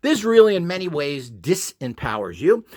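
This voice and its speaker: A man's voice: on the high side, big pitch swings, normal speed. A middle-aged or older man giving an informal, off-the-cuff instructional talk in a blunt, opinionated, emphatic and rambling way. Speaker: instructor and video presenter.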